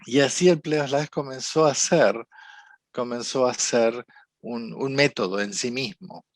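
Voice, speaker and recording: A man with an Argentine accent, a psychotherapist telling his story in an interview, reflective and halting, often restarting his sentences. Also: mid-range voice, dry recording. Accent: Argentine Spanish. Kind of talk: conversational storytelling.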